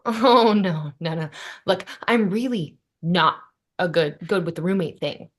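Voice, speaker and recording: A woman's voice: on the low side, a little slow. A woman acting a bossy, caring, wry young woman in a sitcom table read. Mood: exasperated.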